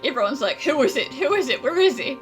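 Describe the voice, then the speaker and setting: A woman's voice: high voice, sing-song, fast, soft background music. A woman doing casual, jokey reaction commentary as she plays a game, often voicing mock quotes. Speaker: game streamer.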